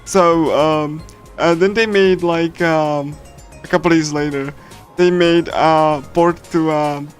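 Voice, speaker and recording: A man with a slightly high voice talks casually on a livestream as he reads through a visual novel. He speaks haltingly, like a giggly, chatty fan, with soft game soundtrack music playing behind him. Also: fairly even intonation. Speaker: streamer reading a visual novel. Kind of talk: casual livestream chat with loose readthrough.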